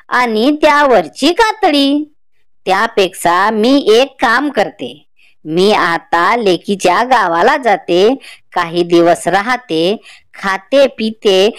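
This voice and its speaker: A woman voicing a kindly, shrewd old village grandmother in a cartoon folk tale, speaking in a drawn-out, coaxing way. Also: a little high, dry recording. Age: older.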